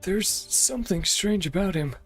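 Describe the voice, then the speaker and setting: A man's voice: high voice, animated, normal speed. A man doing casual game playthrough commentary, muttering terse fragments and offhand reactions over game music. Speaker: gaming streamer.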